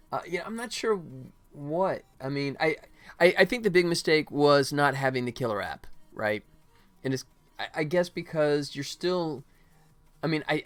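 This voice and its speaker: A game developer hosting a livestream, chatting casually and jokily in a rambling way while working. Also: medium pitch. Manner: rambling, jokey, acts out mock quotes.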